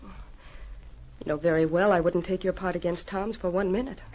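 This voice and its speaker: A woman's voice: fairly low pitch, steady tone, normal speed, darkish tone, natural voice. Earnest radio-drama young woman played by an actress. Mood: coaxing, earnest. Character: earnest, pleading young frontier woman, loyal sister. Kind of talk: 1940s radio drama acting. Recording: muffled, hissy old radio recording.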